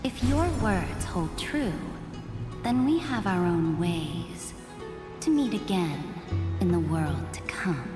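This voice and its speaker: A woman's voice: fairly low pitch, animated, slow. A woman playing a proud, disdainful monarch with a regal, formal manner in a dramatic anime game. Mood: proud, resolute. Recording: loud background music.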